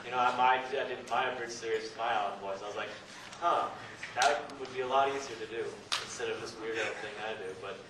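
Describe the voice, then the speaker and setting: A man's voice: mid-range voice, steady tone, brisk, noisy background. A man speaking as a panelist in a casual, hesitant, chatty way. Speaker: panelist and amateur voice actor. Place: convention panel room. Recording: echoey room, distant mic, crowd behind.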